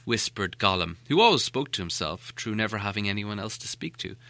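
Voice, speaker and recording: Man, expressive story narration, lively intonation, sharp forward tone, close dry sound. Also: lowish voice, fairly quick, no room sound. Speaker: audiobook narrator.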